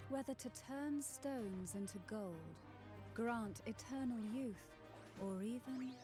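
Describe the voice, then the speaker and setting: A woman's voice: mid-range voice, lightly acted. An actress playing an enigmatic, alluring woman in a video game, speaking with teasing, theatrical elegance. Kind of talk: scripted video game dialogue. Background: game music.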